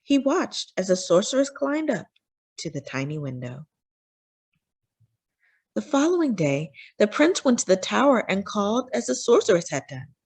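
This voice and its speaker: A woman's voice: mid-range voice, sing-song, moderate pace, a little mellow, breathy edge. A woman reading a children's storybook aloud as narrator, phrasing for young listeners with dramatic emphasis.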